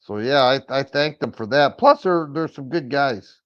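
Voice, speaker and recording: Middle-aged male stream host, raspy, stammering restarts, average pitch, muffled low-fi sound. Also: expressive, normal speed, a bit bright. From Michigan. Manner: rambling, with frequent stammered restarts. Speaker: firearms livestream host. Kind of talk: casual livestream chat answering viewers. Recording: dull lo-fi stream audio.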